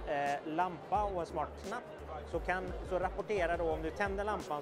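A young man giving an informal, explanatory product demonstration to camera, as a video presenter. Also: on the high side. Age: young adult. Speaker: video presenter for an electronics retailer. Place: indoor trade fair booth.

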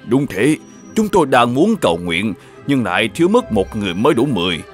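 A man voicing a mysterious old Taoist sage in an animated fairy tale, courteous and formal in manner. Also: mid-range voice, brisk, close to an everyday voice. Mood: courteous, persuasive. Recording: music behind the voice.

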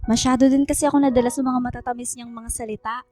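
A woman's voice: fairly high pitch, steady tone, fast talker, darkish tone, soft background music, lightly acted. A woman playing a sensitive, lovestruck young female student in radio drama dialogue. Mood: apologetic.

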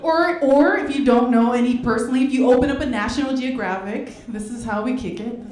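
A woman's voice: on the high side, brisk, background noise. A young woman performing a stand-up comedy set on a club stage into a handheld mic, laughing between jokes. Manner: animated, laughing between jokes, puts on voices for bits. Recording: roomy club with crowd laughter. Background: audience laughing.

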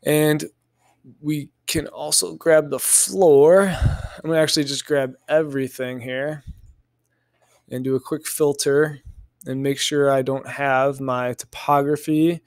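A man narrating a software tutorial in a casual, informal way, thinking aloud step by step as an instructor. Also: fairly high pitch, fairly even intonation, slow, dry recording.

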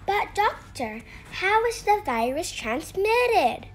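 A woman's voice: very high-pitched, very animated, takes it slowly, soft background music, voice pushed up, full character voice. A woman voicing a polite, childlike little schoolgirl puppet, sickly and worried, in a children's educational show. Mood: curious.

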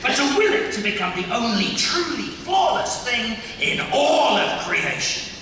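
An actor playing a grandiose, pompous villain bent on cleansing the world, at a live table read. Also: male voice, very high-pitched, very animated, exaggerated voice. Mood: grandiose, menacing. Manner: pompous, monologuing, drawn-out delivery. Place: large convention hall panel stage. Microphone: table microphones, recorded by distant camera. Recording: echoey hall from far off, loud noise.

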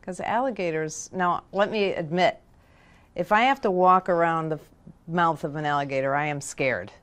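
A woman in her forties hosting a studio interview, chatty and quick to react with questions. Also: fairly low pitch, fairly bright tone. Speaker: host. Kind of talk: casual interview talk. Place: studio set.

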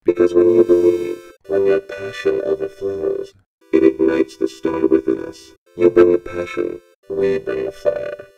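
A man's voice: low-pitched, unhurried, vocal fry, mellow, husky, lo-fi sound, faint music behind. A man reading a dramatic motivational voice-over through a robotic synth vocoder. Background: synthesizer tones.

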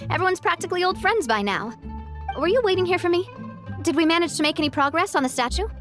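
Game heroine: high-pitched young female boss, warm, determined, wistful but bright, over music. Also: lively intonation, fast talker. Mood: lighthearted. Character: young woman leader, warm, resolute, wistful yet upbeat. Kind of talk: video game character acting.

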